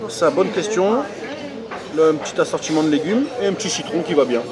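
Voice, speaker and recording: Marseille guy, talks fast and a bit high, joking around, loud crowd behind. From Marseille, France. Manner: quick, joking, upbeat. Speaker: local guest. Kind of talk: casual chat.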